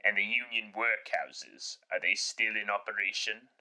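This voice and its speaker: A man's voice: lowish voice, steady tone, moderate pace, sharp tone, poor audio. An actor playing a cold, grumpy old miserly businessman in classic radio drama style, curt and dismissive. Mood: cold, sardonic.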